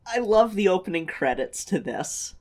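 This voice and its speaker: A woman's voice: on the low side, lively intonation, moderate pace, a little mellow. A woman co-hosting a podcast in casual chat, gushing and swearing as she reacts. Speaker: podcast co-host.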